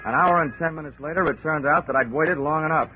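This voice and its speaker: A man's voice: fairly high pitch, quick pace, loud music behind the voice, lightly acted. An actor playing a wry, laconic male insurance investigator who narrates a 1940s radio drama. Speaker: narrator and lead. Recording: tinny old radio broadcast.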